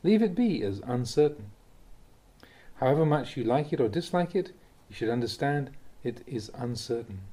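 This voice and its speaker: A man reading Buddhist teachings aloud as a scripted narration, in deliberate, short phrases. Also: mid-range voice, very animated, dark tone. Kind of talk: scripted audiobook reading of a Dhamma talk.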